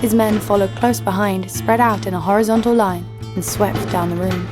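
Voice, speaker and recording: A woman's voice: medium pitch, steady tone, normal speed, close to an everyday voice. An actress playing a tough, wry female adventurer who narrates her own story in a scripted audio drama. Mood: wry, tense. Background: music.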